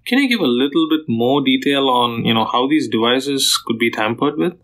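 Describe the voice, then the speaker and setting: A man's voice: medium pitch, animated, normal speed, a bit bright. A man asking questions as a podcast interviewer.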